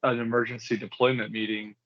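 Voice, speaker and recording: A man's voice: medium pitch, level tone, brisk, dry recording. A man chatting casually in a wry, joking way on a lo-fi recording. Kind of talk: casual group banter. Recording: dull lo-fi, faint noise, clicks.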